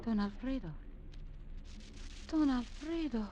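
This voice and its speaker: A woman's voice: fairly high pitch, lively intonation, slow, warm tone. An actress playing a secretive, defensive young Latin American woman in a 1940s radio drama. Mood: alarmed. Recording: noisy old 1940s radio recording.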